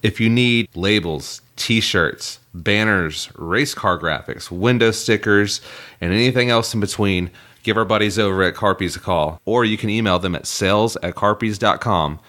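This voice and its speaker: A young man with a scratchy throat, co-hosting a casual podcast and explaining things in a measured way. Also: on the low side, fairly bright tone. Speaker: podcast co-host.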